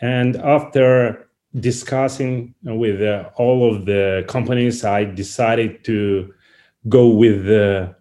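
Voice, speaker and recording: A man from Russia with a Russian accent, answering interview questions in halting, non-native English. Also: on the low side, fairly even intonation, warm tone. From Saint Petersburg, Russia. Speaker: interview guest, company founder.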